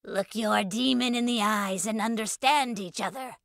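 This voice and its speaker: A woman playing a wise, slightly raspy, unflappable female mystic, speaking in a measured, solemn way in audio fiction. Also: on the high side, fairly bright tone, dry recording, clean studio sound. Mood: stern. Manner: measured, solemn, cryptic storytelling.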